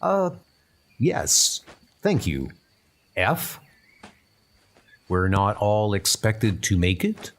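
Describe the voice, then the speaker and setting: A man's voice: lowish voice, very animated, drawn-out words, pauses often, full character voice. Man as a polite young British spy recruit. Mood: respectful. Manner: polite, respectful. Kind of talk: comic dub acting.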